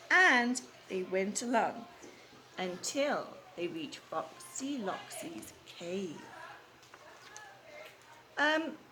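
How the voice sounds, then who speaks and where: A woman's voice: a little high, fairly bright tone. A woman reading a storybook aloud and questioning young children in a teacherly way, repeating answers back. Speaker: read-aloud storyteller leading a children's class. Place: classroom or school room with children. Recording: noisy live room with background noise.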